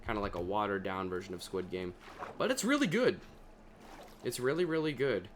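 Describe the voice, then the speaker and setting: A young man chatting casually over his gameplay, his voice deeper than usual and talking to himself as he plays. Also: lively intonation, fairly quick, slight background noise. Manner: chatty, talks to himself while playing. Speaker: gaming video creator.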